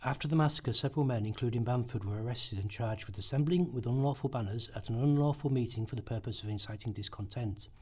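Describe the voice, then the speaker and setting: A man narrates history from a script in a documentary style. His voice sits at a middle pitch and has a husky, rough edge. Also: quick pace, hardly any pauses, a little mellow. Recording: amateur rehearsal recording.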